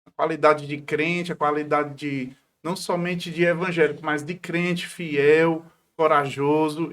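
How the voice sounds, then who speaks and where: A man's voice: on the high side, fairly bright tone. A man of about forty, a pastor speaking devoutly as an interview guest, often adding short tag questions. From Paraíba, northeastern Brazil. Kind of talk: devout personal testimony in a conversational interview.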